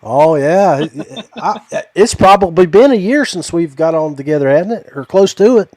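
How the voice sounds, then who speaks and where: A man with a fairly high voice hosts a relaxed podcast conversation. He talks in a folksy, enthusiastic way, and the sound is dry with little room to it. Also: normal speed, no echo. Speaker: podcast host. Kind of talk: casual podcast chat with a guest.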